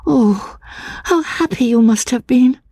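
Woman acting a high, breathless, troubled young woman. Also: takes it slowly, warm tone, breathy edge, no echo, full character voice. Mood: eager wonder. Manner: breathless, nervous, stammering. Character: frail, troubled young woman, timid, eager, childlike. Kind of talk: dramatic audiobook reading of classic literature.

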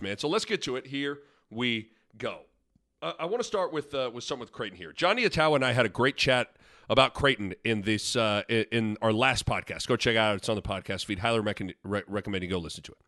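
Man, podcast host, congested, lively pitch, dry close sound, rambling. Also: no echo. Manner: rambling, self-correcting. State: congested from sinus infection. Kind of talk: casual sports talk.

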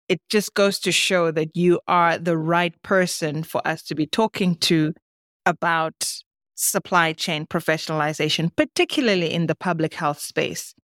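Low-voiced woman hosting a podcast. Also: no echo, clean studio sound. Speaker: podcast host. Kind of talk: scripted podcast introduction and interviewing.

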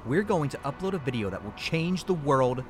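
An actor playing a grumpy, suspicious, self-important flat-earther in a comedic audio drama, with a pompous, grumbling delivery. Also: male voice, mid-range voice, moderate pace, natural voice. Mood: grimly earnest.

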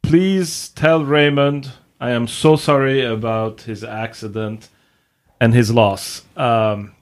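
A man on a podcast reading a listener email slowly and haltingly. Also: medium pitch, fairly even intonation. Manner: reads haltingly. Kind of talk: casual chat, reading an email.

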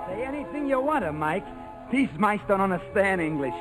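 A man's voice: high-pitched, very animated, moderate pace. An actor playing a jaunty airman sidekick with folksy, slangy talk in a 1940s radio drama. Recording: old 1940s radio broadcast, music underneath.

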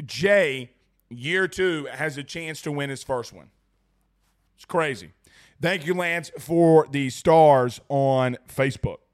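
A young man hosting a fan livestream after a big game, his voice hoarse and strained from yelling. He talks in an excitable, rambling way, repeating lines for emphasis. His voice sits a bit high for a man. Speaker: sports talk show host. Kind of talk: excited post-game livestream chat reading super chats.

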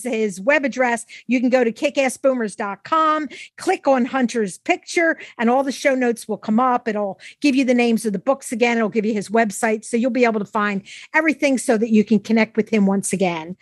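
Higher-voiced mature woman hosting, close and dry. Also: no room sound. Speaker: podcast host. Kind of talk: conversational interview.

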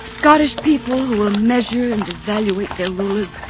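A woman's voice: mid-range voice, expressive, moderate pace, lightly acted. An actress playing a proud, sorrowful Scottish queen in a 1940s radio drama, earnest and declamatory on a dull old recording with music. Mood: noble sorrow.

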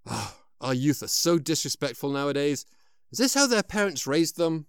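An actor playing a sour, confused old man in an audio drama, with a halting, stammering delivery. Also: on the high side, very animated, smooth. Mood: grumpy.

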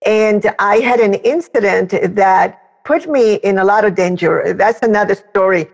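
Gravelly older woman from Chile. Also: mid-range voice, a little slow. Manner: warm, candid storytelling. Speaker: business educator guest. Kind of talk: storytelling in conversation. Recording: dull audio with long reverb.

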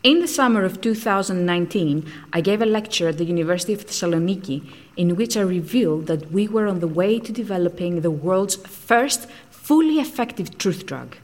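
A woman's voice: on the low side, lively intonation, brisk. An actress playing a frank, socially outcast woman scientist in an audio drama, speaking in a blunt, matter-of-fact way.

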